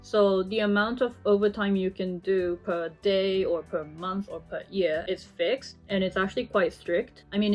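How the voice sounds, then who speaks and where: A young woman from Japan, answering viewer questions in a casual, chatty way full of "you know" fillers. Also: medium pitch. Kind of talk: casual Q&A chat.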